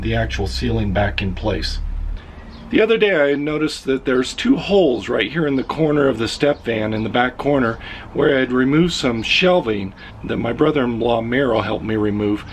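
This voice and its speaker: A middle-aged man, hoarse and raspy from losing his voice, talks through his work in an unhurried way, thinking aloud with fillers. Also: medium pitch, a little hiss or hum. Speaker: vlogger. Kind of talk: casual vlog narration while working. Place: inside a step van.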